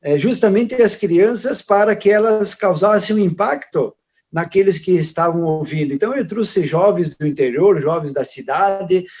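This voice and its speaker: A man with a southern Brazilian accent, talking casually and haltingly as a guest on a video call panel. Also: sits high, darkish tone, husky. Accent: Rio Grande do Sul Portuguese. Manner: hesitant, many restarts and fillers. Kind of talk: casual conversational talk. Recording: dull lo-fi video call audio.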